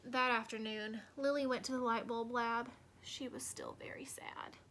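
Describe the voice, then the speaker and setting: A woman reading a children's storybook aloud expressively, with deliberate dramatic emphasis. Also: a little high, steady tone, pushed and bright. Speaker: storybook reader.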